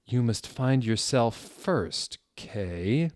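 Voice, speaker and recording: A man acts out an old wizard headmaster from a parody tale. He speaks slowly and cryptically, as if preaching, in a dry close recording. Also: medium pitch, animated, darkish tone, no room sound, voice pushed up. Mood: sage.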